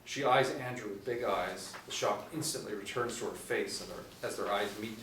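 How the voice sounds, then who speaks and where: A man's voice: on the low side, moderate pace, fairly bright tone. A man in his forties giving scripted narration, reading out screen directions. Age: 40s. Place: small indoor room, seated group. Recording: roomy, distant mic with faint noise.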